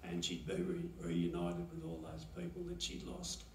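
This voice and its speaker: A man gives a halting, pause-filled eulogy for his mother at a chapel lectern. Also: sits low, big pitch swings, creaky, a little mellow, slight background noise. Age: 70s. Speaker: son giving a eulogy. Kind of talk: memorial eulogy. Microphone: lectern mic, heard from a far camera. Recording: roomy chapel echo from far off.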